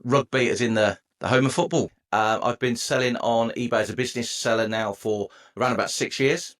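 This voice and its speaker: A man's voice: mid-range voice, steady tone, a little fast, fairly bright tone. A man from England in his forties, a podcast guest telling his own story in a chatty, jokey way. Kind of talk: conversational storytelling.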